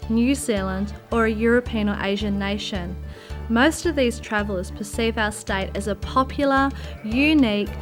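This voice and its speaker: Higher woman presenter over music. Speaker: host. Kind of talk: scripted narration and street interviews. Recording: music bed behind voice.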